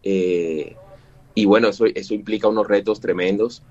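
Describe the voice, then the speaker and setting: A man's voice: fairly low pitch, fairly even intonation, moderate pace, a little mellow, dull audio. A man in his forties from Cuba, a musician guest talking in an interview, hesitant, with many fillers and restarts. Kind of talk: interview conversation.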